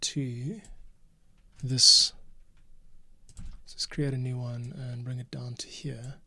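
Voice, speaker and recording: A man's voice: mid-range voice, little pitch movement, slow, pushed and bright, husky. A man thinking aloud in an informal way as he edits audio, often muttering to himself. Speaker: podcast producer and audio editor. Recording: noisy room with keyboard clicks. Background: typing, mouse clicks, audio being edited.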